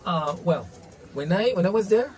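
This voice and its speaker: A man's voice: high voice, expressive, normal speed, darkish tone, slight background noise. Earnest man, Spanish native speaker. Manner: earnest, frequent "you know" and restarts. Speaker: interviewee. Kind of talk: casual interview answers.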